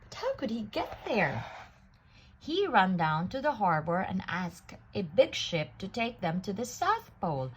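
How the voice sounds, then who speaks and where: A woman reading a children's picture book aloud in an expressive, deliberate way, drawing out words for effect. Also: medium pitch, slight background noise, rough recording. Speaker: storybook reader.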